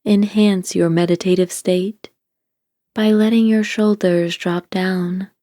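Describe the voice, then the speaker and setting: A woman narrating a scripted guided meditation in a calm, soothing, deliberate way, giving gentle instructions. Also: mid-range voice, no room sound, studio quality.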